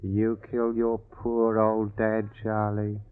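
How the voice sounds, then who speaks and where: A man playing a sly, unhurried baker in a 1950s radio drama, speaking with wry, deliberate calm. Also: fairly low pitch, clean tone. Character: sly, unhurried bun baker, bargaining coolly. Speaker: actor. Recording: muffled old radio broadcast.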